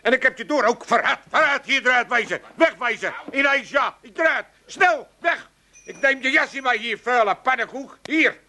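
Radio play: a cranky old Amsterdam junk dealer, high bright voice with wild swings, pompous bluster. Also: male voice, expressive, normal speed, exaggerated voice. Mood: indignant. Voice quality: blustering, theatrical.

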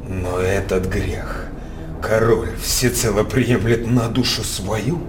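An actor playing a blunt, loyal mercenary knight in a theatrical radio drama, declaiming with soldierly emphasis. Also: male voice, on the low side, takes it slowly. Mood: hesitant, solemn. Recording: roomy stage sound with noisy background.

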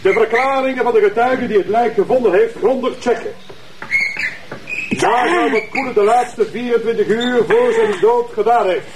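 A man's voice: very high-pitched, normal speed, background noise. A man with a Flemish accent playing a bossy, self-important police commissioner in a radio drama, hectoring and pompous. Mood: bossy, impatient.

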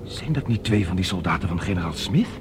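A man's voice: low-pitched, expressive, fast talker. An actor playing a dazed, aching but determined man in a science fiction radio drama, hesitant and urgent. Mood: wary, alert. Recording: clearly noisy radio play recording.

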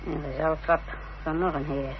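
An actress playing a proud, defiant middle-aged mother in a radio drama, speaking in a haughty, theatrical, deliberate way. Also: low-pitched, fairly even intonation, natural voice. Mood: confiding, proud. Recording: dull, noisy old radio recording.

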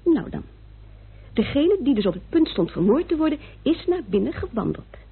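An actress playing a sharp, insistent woman reasoning out a murder case in a vintage Dutch radio play, with a muffled recording. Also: high voice, very animated, normal speed, gravelly, breathy edge, exaggerated voice. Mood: insistent, brisk.

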